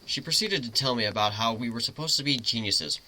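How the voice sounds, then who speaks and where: A male amateur reader narrates a first-person story in a dramatic reading. His voice sits at a middling pitch with a very sharp, forceful brightness. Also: brisk, slight background noise, low-quality recording.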